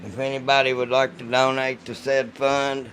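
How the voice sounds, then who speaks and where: This is a man with a rough, weathered voice hosting a casual livestream. He talks in a folksy, joking, foul-mouthed way as he answers his chat. A little background noise sits behind his voice. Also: level tone, normal speed, fairly bright tone. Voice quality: deep, rough voice from vocal cord polyps.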